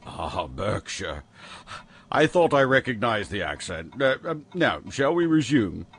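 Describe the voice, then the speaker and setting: An actor playing a pompous, lecherous, doddering British Prime Minister in a comic audio drama, with an English accent and hesitant mumbling. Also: male voice, fairly low pitch, sing-song, measured pace, husky, full character voice.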